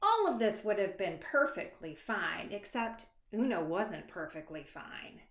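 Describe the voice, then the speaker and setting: A woman author reading a children's picture book aloud expressively, with dramatic hushed moments and asides to young listeners. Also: mid-range voice, big pitch swings. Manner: dramatic, dropping to hushed asides and stretched words; pauses to address young listeners with questions. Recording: echoey, reverberant room.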